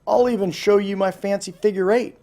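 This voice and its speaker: A man's voice: sits high, steady tone, a little fast, dark tone, voice pushed up. A man voicing an eager, dramatic young male snake in a storybook. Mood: friendly, eager.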